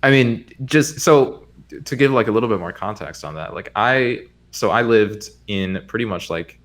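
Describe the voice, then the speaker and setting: A man's voice: on the low side, animated, normal speed, a bit bright. A young man with an American accent whose first language is Spanish, chatting casually as a podcast guest and rambling with lots of fillers. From United States. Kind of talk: casual chat.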